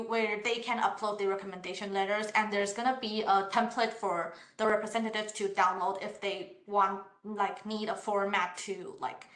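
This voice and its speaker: A woman's voice: medium pitch, fairly bright tone. A woman from university admissions reading out questions and answering them in an online session, politely and with many hesitations. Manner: hesitant, frequent "uh" fillers and restarts; polite, explanatory. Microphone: online session over computer mic. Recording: roomy echo.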